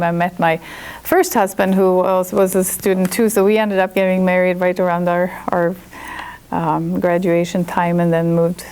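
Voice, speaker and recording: A Swedish-accented woman in her fifties speaks with a low, gravelly voice. She tells her own story to a listening audience, candid and chatty. She often restarts her sentences as she goes. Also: darkish tone. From Sweden. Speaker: chiropractor and guest speaker. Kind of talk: personal story talk to an audience.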